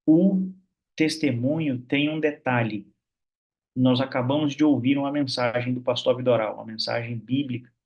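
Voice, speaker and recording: A man's voice: medium pitch, dull audio. A man from Minas Gerais hosting an online church prayer meeting, chatty and full of fillers. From Minas Gerais, Brazil. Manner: warm, chatty, many fillers like "né". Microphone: video call.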